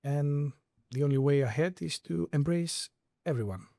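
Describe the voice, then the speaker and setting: A man's voice: fairly high pitch, moderate pace, gravelly, dark tone, dry recording, clean studio sound. A man, a claims manager speaking hesitantly with many fillers in a podcast conversation. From Greece.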